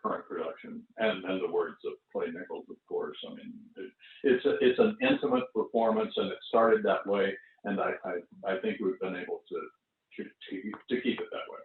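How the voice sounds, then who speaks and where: A man who performs in theater giving casual, reflective thanks in a halting way. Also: low-pitched, very animated. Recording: tinny phone-like audio, echoey room.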